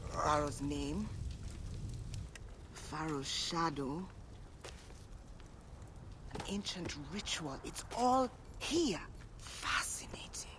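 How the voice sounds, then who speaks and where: A sly, scholarly tomb scout in a game cutscene. The delivery is unhurried and wry at a middling pitch, with clear noise behind it. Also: animated. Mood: absorbed. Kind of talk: video game cutscene acting.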